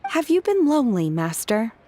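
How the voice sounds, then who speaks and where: A woman voicing a calm, earnest, devoted young disciple in a video game, reserved in manner. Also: on the high side, normal speed, soft background music. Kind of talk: video game character dialogue.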